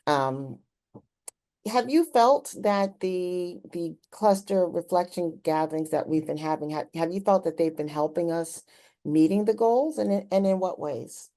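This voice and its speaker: A woman with a low, mellow voice acts as interviewer in a community conversation. She talks reflectively, explaining as she goes and checking that she is being followed. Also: measured pace.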